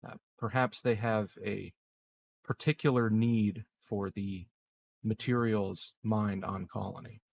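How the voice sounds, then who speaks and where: A man improvising in-character conversation as a roleplaying player, phrasing things in a measured, halting way. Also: on the low side, fairly even intonation, vocal fry, darkish tone.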